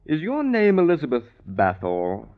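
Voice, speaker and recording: An actor playing a stern, officious eighteenth-century police officer in a radio drama, clipped, formal and commanding. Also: male voice, mid-range voice, huge pitch swings, normal speed. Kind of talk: 1950s radio drama acting. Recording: dull 1950s radio recording.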